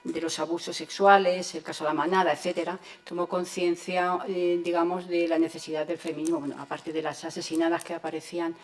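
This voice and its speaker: Una mujer con acento habla como ponente en una mesa redonda académica. Su voz es grave para una mujer y bastante monótona, y avanza con dudas y muchas muletillas. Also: female voice, fairly low pitch, flat delivery, fairly quick, hardly any pauses. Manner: hesitant, with many fillers. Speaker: panelist. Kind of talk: academic panel discussion. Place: university lecture hall.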